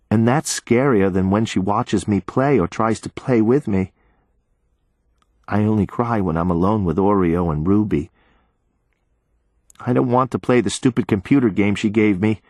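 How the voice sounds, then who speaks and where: A man's voice: lowish voice, a little mellow, dry recording, studio quality. A man voicing a frightened small child who pleads in a plaintive way, read as audiobook narration.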